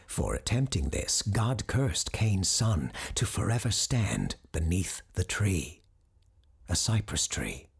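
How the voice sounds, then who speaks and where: A woman playing a grave, scholarly occult expert who hosts a supernatural radio call-in show in an audio drama, speaking in a measured, ominous, husky way. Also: a bass voice, a little mellow, heavily acted.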